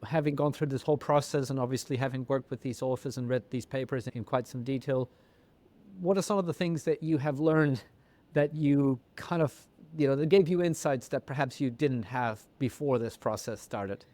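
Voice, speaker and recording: A man from the Netherlands, a native Dutch speaker, hosting an academic podcast and asking his guests measured, appreciative questions. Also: medium pitch, mellow. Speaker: podcast host.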